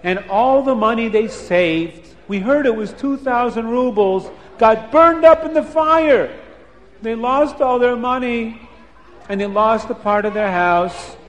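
A man's voice: very high-pitched, measured pace, in a large hall, pitched above the performer's own voice. A man doing sorrowful village gossips.

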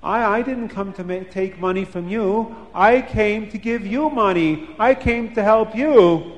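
A man voicing an earnest, humble traveler who pleads gently. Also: sits high, unhurried, big-room sound, pitched above the performer's own voice. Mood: pleading.

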